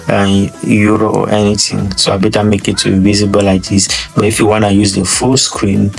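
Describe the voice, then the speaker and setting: Nigerian guy rambling through a tutorial, real monotone, repeats himself a lot. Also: fairly low pitch. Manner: rambling, repeats words, chuckles. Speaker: trading tutor. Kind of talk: casual screen-share tutorial.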